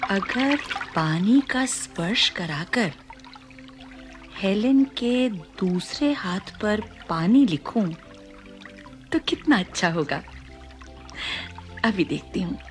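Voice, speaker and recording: An actress playing a patient, gentle teacher in an audio drama, coaxing a small child with short phrases and soft encouraging hums. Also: female voice, mid-range voice, animated, extremely slow, long pauses, faint music behind. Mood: thoughtful, eager.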